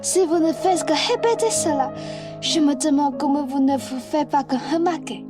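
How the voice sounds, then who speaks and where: A very high, youthful female voice, with music underneath. A young woman plays a headstrong girl in love, pleading and ordering by turns in a French comic radio play. Her French. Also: normal speed, lightly acted. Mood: indignant, insistent. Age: young adult. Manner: insistent, pleading, bossy. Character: lovestruck headstrong young woman, eager to join a thief.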